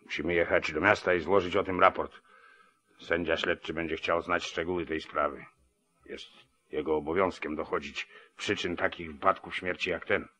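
A weary sheriff in an old 1940s radio drama. His voice is low and bright with a forceful edge, and he declaims while dodging responsibility. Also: fairly even intonation, moderate pace. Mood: grave, resigned. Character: evasive, weary southern small-town sheriff.

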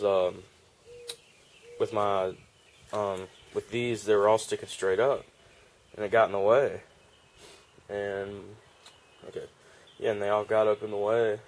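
A man's voice: on the low side, a little mellow. A young man, casually showing his fishing gear in a rambling, laid-back vlog on a porch, recorded on a handheld camera. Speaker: fishing vlogger. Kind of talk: casual show-and-tell vlog. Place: outdoor wooden porch.

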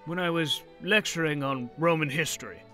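An actor playing a kindly, whimsical old professor with a theatrical, musing manner in period drama style. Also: male voice, on the high side, normal speed, bright, forceful tone, faint music behind. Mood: puzzled. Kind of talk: period radio-drama acting.

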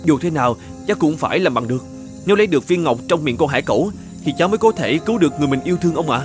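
A man's voice: a little high, lively intonation, rapid-fire, music in the background, close to an everyday voice. A man voicing a brave, polite young knight in a children's cartoon. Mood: earnest, polite. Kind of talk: children's cartoon fairy-tale dubbing.

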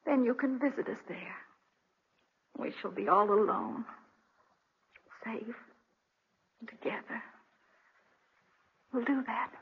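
An actress playing a tender, ghostly young wife, dreamy and very breathy, in 1940s radio drama style. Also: female voice, on the high side, slow, pauses often, exaggerated voice. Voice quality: very breathy, faint. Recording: muffled 1940s radio recording.

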